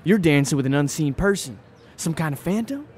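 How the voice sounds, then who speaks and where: A man's voice: on the high side, lively intonation, brisk, darkish tone, slightly rough. An actor playing an eager, restless young small-town man of about twenty in an audio play, folksy and blunt. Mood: searching, eager. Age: young adult. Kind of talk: audio play acting before a live audience.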